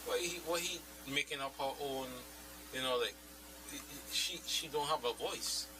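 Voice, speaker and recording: A man with a Caribbean accent giving blunt, halting opinions as a guest in casual radio talk. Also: a little high. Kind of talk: casual chat. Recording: clear hiss and hum, slightly distant.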